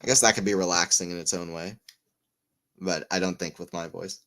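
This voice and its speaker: A man giving live tennis play-by-play on a stream, chatting with viewers and getting excited on big points. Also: sits low, a little fast. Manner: excitable on rallies, rambling and self-mocking between points. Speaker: tennis watchalong commentator. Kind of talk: live play-by-play and casual chat.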